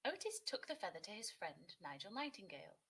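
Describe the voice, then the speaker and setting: A woman teacher narrating a children's story, expressively and clearly phrased for young listeners. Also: medium pitch, sing-song, brisk, a bit bright.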